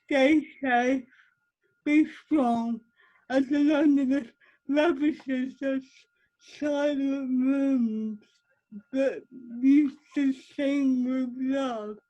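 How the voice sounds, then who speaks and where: Lady reading a poem real slow on a video call, high voice. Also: fairly even intonation, mellow, dull audio. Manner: measured, lingering delivery. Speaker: poet reading.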